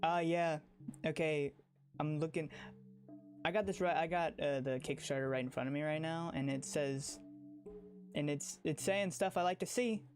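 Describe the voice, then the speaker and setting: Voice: medium pitch, moderate pace, soft background music. A livestreamer chatting casually and emphatically, rambling, often repeating phrases and restarting sentences.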